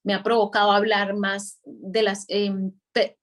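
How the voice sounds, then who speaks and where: A woman's voice: mid-range voice, little pitch movement, a little slow. A young Costa Rican woman from Guanacaste, a musician guest, talking reflectively in a live video chat with many small restarts. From Costa Rica, Guanacaste. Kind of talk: casual interview over a live video stream. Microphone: video call.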